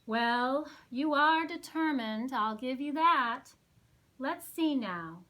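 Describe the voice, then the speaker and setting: A woman's voice: high-pitched, animated, slow, sharp tone, heavily acted. A woman voicing a majestic, kindly male giant albatross in a storybook. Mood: encouraging.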